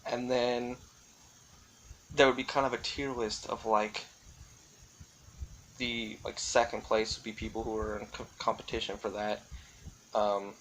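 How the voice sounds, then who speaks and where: A man's voice: lowish voice, level tone, a little slow, pauses often, a bit bright, poor audio. A man giving casual, rambling solo commentary as a vlogger, thinking aloud and often qualifying what he says in a tentative way. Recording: clearly audible background noise.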